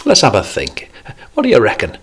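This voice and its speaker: A man's voice: fairly low pitch, wildly swooping pitch, a little fast, a bit bright, breathy edge, pitched above the performer's own voice, full character voice. A man voicing a jovial, preachy older man, raising his voice above his narration. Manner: pleading, then cheery and coaxing.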